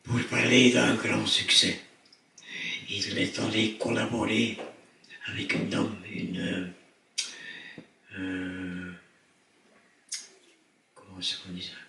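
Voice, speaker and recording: An older man tells family stories on a theatre stage, his voice raspy and half-whispered since throat surgery. He speaks very slowly, pausing to find his words, and his voice is low and gravelly. The sound comes from a distant mic in a roomy, echoing hall. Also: level tone, bright, forceful tone. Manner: slow, halting, searches for words. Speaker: circus director, interviewee. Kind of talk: storytelling on stage. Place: theatre stage before an audience. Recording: echoey hall, distant mic, faint noise.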